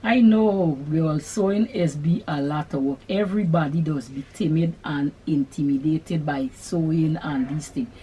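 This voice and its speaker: A middle-aged woman with a Guyanese accent, hosting a sewing channel and answering viewer questions in an unhurried, chatty way. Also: sits low, dark tone, slight background noise, rough recording. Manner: unhurried, chatty, Creole-tinged phrasing. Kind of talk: casual Q&A chat to camera.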